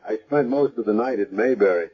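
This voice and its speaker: A man's voice: on the low side, a little fast, husky. An actor playing a matter-of-fact man police detective laying out evidence in a 1970s radio drama. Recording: dull lo-fi vintage radio recording.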